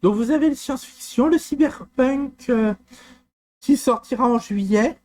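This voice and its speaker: Hesitant man presenting a software tool. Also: very high voice, unhurried. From France. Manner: hesitant, many fillers and restarts. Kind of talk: screen-recorded walkthrough.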